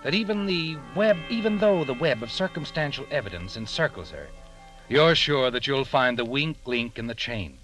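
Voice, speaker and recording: An actor narrating an old-time radio thriller in a suspenseful, pointed manner, addressing the listener directly. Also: male voice, medium pitch, very animated, normal speed, fairly bright tone. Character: second-person radio narrator, insistent voice addressing Joseph. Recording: old radio recording with music behind.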